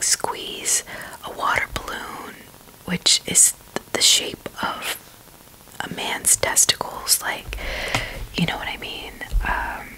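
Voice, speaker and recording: A woman's voice: medium pitch, pushed and bright, rough recording. Woman whispering casual ASMR chat. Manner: hesitant, trailing phrases. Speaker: ASMR video creator.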